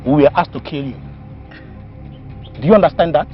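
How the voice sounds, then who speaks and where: A man's voice: on the high side, expressive, fairly quick, close to an everyday voice. A man playing a domineering gang leader in a film drama, with a Nigerian accent and an emphatic, commanding manner. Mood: menacing, smug. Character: domineering male gang leader sparing his captive. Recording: muffled film audio with faint music.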